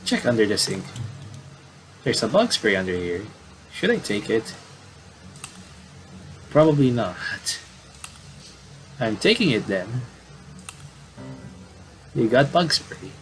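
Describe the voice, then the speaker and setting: A man doing casual game commentary, reading on-screen dialogue aloud and adding halting asides. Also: medium pitch, very animated, a little hiss or hum, low-quality recording. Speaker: gamer doing playthrough commentary.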